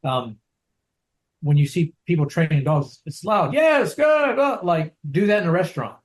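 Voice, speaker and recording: A man who trains dogs, talking casually over a video call, soft-spoken and rambling, often checking in with "right?". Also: fairly high pitch, lively intonation, moderate pace. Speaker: dog trainer.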